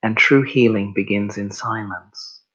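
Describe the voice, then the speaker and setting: A man narrating a scripted guided meditation in a measured, solemn way, one short phrase at a time, on a dull recording. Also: lowish voice, fairly even intonation, bright, forceful tone, slightly rough.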